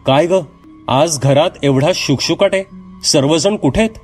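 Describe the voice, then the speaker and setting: A man's voice: a little high, a little slow, breathy edge, soft background music, voice pushed up. A man voicing a weak, bewildered mother who questions in a puzzled, distressed way in a horror tale. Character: weak, bewildered mother waking from a long sleep.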